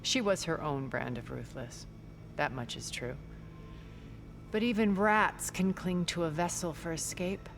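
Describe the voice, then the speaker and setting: An actress playing a refined, wealthy immortal woman in a video game, speaking in measured, eloquent, theatrical phrases with quiet scorn. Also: sits low, gravelly, soft background music. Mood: cool disdain. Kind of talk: video game character dialogue.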